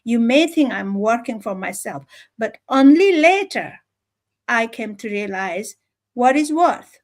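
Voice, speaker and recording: Woman, Nepali accent, slightly high voice, earnest, emphatic, halting, repeats phrases, informal motivational livestream talk. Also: expressive. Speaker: livestream speaker.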